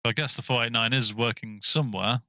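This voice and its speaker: Bright, forceful man joking at a game. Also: medium pitch, steady tone, fairly quick. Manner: jokey and chatty. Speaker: player. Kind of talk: casual chat at a game table.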